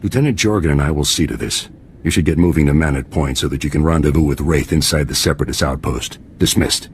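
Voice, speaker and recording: Stern middle-aged commander, very low voice, measured authority, game cutscene, faint ambient noise. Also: male voice. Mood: firm, commanding. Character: stern, composed middle-aged military commander briefing his troops. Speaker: military commander. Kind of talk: scripted video game acting.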